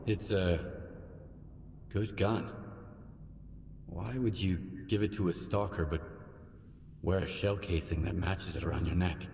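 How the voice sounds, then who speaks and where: Terse game loner, dark lowish voice, wary, kinda understated, reverby. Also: male voice, fairly even intonation, a little slow, gravelly, pauses often. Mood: dry, wary. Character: wary, laconic male stalker loner. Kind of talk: video game cutscene acting. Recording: reverberant, faint noise behind.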